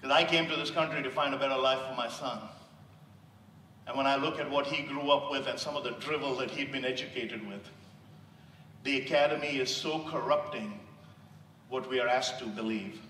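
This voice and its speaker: Indian accent guy preaching hard, bright voice, big echoey hall mic far off. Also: mid-range voice, normal speed, slight background noise. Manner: impassioned, rising to loud emphasis. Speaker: motivational speaker. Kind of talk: sermon-style speech.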